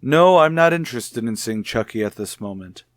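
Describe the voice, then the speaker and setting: An actor playing a composed, authoritative child psychologist in a 1950s radio soap, speaking formally and in a measured way. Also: male voice, medium pitch, lively intonation, no echo, clean studio sound, close to an everyday voice. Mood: measured, professional.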